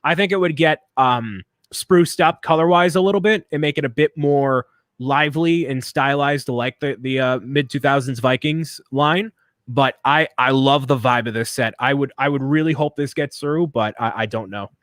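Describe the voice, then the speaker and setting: A man's voice: medium pitch, moderate pace, fairly bright tone, dry recording, studio quality. A man co-hosting a casual chat, enthusiastic and opinionated, often repeating words as he talks. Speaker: co-host. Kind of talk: casual chat while building.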